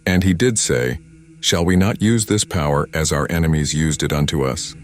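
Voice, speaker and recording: An actor playing a stern zealot in a video game, reciting scripture in a solemn, oratorical manner. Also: male voice, very deep voice, moderate pace. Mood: solemn, portentous. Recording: music behind voice.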